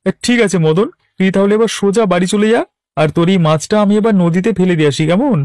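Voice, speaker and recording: A man's voice: high-pitched, quick pace, darkish tone, no room sound, clean studio sound, close to an everyday voice. A man voicing a sly young village swindler in a cartoon, cajoling and talking down in a mock-friendly way. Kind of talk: Bengali cartoon voice acting.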